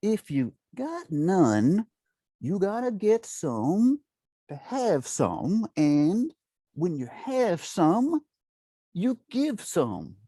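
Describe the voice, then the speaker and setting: A man's voice: sits high, wildly swooping pitch, drawn-out words, warm tone, dry recording, studio quality, exaggerated voice. An actor playing a slick financial schemer at a table read, with sly, drawling salesman patter. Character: slick smooth-talking male financial schemer.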